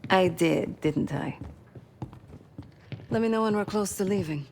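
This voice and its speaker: An actress playing a cool, self-possessed mercenary operative in a video game, with a measured, dry, wry way of talking. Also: female voice, medium pitch, darkish tone, in a large hall, a little hiss or hum, close to an everyday voice. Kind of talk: video game cutscene acting.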